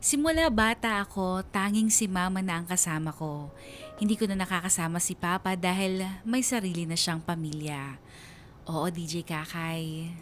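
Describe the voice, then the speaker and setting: An actress playing an earnest Filipina daughter, narrating her life story in a sentimental, measured radio-drama style. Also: lowish voice, lightly acted. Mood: earnest, wistful. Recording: music behind the voice.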